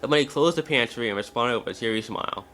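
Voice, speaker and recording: Bright-voiced man narrating a story. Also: medium pitch, fast talker. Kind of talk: scripted story narration, dramatic reading.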